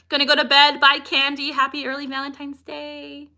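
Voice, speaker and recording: Young woman, livestream chat, high-ish voice, upbeat, laughs a lot, sudden vocal tics. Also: monotone, normal speed, pushed and bright. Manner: chatty and upbeat, laughs often, breaks off mid-sentence with sudden vocal tics. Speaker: livestreamer answering chat questions.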